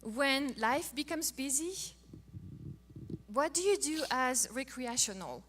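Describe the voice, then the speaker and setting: Middle-aged french lady, high voice, playful and lively, talking marriage to a crowd. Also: takes it slowly. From France. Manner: playful, animated storytelling. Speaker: co-host of a marriage talk. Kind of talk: casual talk to an audience.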